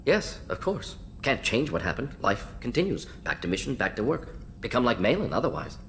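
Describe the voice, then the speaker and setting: An actor playing a brisk, analytical alien scientist in a video game, speaking in clipped, matter-of-fact phrases. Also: male voice, mid-range voice, big pitch swings, fairly bright tone, a little hiss or hum. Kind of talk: video game dialogue acting.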